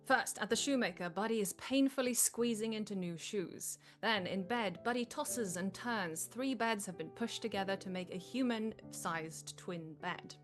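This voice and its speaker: A woman's voice: medium pitch, moderate pace, hardly any pauses, faint music behind. A woman reading stage directions as scripted narration at a live table read.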